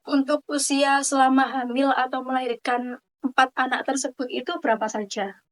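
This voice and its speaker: A woman's voice: high voice, level tone, normal speed, fairly bright tone, reverberant. A woman interviewing a mother, reading polite questions with small hesitations. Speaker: student interviewer.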